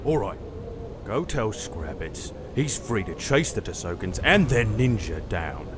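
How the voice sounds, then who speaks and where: A man voicing a stern, impatient admiral of a dark army in a fantasy audiobook, gruff and commanding. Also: fairly low pitch, big pitch swings, unhurried, noisy background. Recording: clear ambience behind voice.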